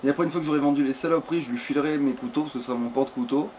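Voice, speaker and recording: Higher-voiced man rambling through a game. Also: racing, darkish tone, a little hiss or hum. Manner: rambling, coarse swearing. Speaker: video game streamer. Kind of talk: casual let's play commentary.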